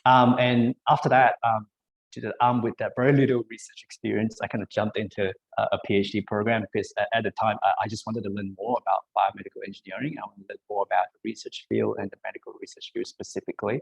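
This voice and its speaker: A man in his thirties from Malaysia, giving an online career talk over a video call and pausing often with ums and uhs. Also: on the low side, flat delivery, gravelly, rough recording. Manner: hesitant, many ums and uhs. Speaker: biomedical engineer, panel speaker.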